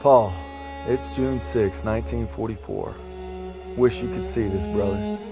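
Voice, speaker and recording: A man's voice: fairly low pitch, measured pace, vocal fry, music in the background. An actor playing a young soldier narrating letters to his brother, plain and wry. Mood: wistful. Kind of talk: reflective voice-over. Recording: game score beneath the voice.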